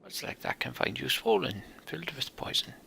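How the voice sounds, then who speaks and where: A man voicing an eccentric, touchy backwoods marsh man in a fantasy game, muttering cryptically in halting phrases. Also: medium pitch, very animated, creaky, bright, forceful tone, a little hiss or hum, pitched above the performer's own voice, full character voice. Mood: menacing.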